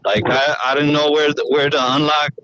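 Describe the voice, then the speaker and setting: A man on a phone call, recounting what he saw in a halting, repetitive, slangy way. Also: fairly high pitch, flat delivery, crowd noise. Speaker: eyewitness phone caller. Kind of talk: casual recounting.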